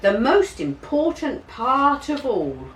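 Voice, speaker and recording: A woman's voice: high voice, very animated, slow pace, fairly bright tone, pitched above the performer's own voice, exaggerated voice. A woman voicing a jolly, reassuring school nurse with a soothing, exaggerated delivery for a storybook. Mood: playfully important. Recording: roomy, distant mic, faint hiss.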